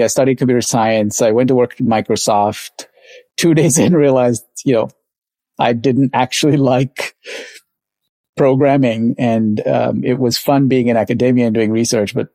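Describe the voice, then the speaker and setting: Mellow middle-aged man from Sri Lanka. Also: medium pitch, no echo. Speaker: podcast guest, company co-founder. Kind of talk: casual conversational storytelling.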